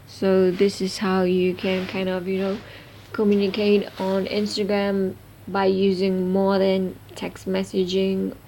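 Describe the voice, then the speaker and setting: A woman narrates a step-by-step tutorial as its host. Her voice is mid-pitched and mellow, with noticeable creak. She explains in halting phrases, feeling her way through each instruction. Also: completely flat delivery, slight background noise, rough recording.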